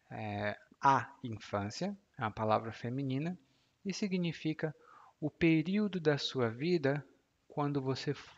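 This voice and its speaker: A Brazilian man in his thirties teaches vocabulary at a slow, deliberate pace, voice sitting at an ordinary middle pitch. He explains things patiently and drops into soft, near-whispered asides. The recording sounds a little dull and lo-fi. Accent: Brazilian Portuguese. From Ceará, Brazil. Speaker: Portuguese teacher. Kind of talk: explaining vocabulary in a language lesson.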